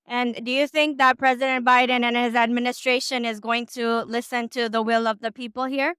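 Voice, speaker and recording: A woman's voice: a little high, little pitch movement, a little fast, a bit bright, clean tone, dry recording, clean studio sound. A woman interviewing people at a rally, asking casual questions with fillers.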